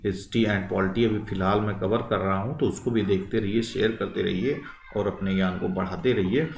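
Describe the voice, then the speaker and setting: A man's voice: fairly low pitch, steady tone, a little fast, gravelly, a little hiss or hum. A man teaching history quiz questions for exam preparation, posing each question, answering it and often checking in with listeners. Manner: asks questions then answers them, frequent check-in tags. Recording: echoey room, distant microphone.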